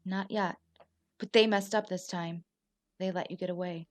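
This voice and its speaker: A woman acting in a radio play as a concerned, steady federal agent, questioning and reassuring. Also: fairly low pitch, moderate pace, close to an everyday voice. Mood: serious, confiding.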